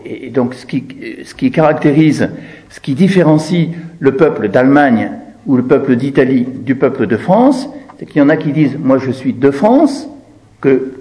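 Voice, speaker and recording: Man lecturing in a lively, swooping voice. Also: medium pitch, low-quality recording. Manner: didactic, asks rhetorical questions and answers them, repeats key phrases for emphasis. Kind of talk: explanatory talk, commenting on quoted text.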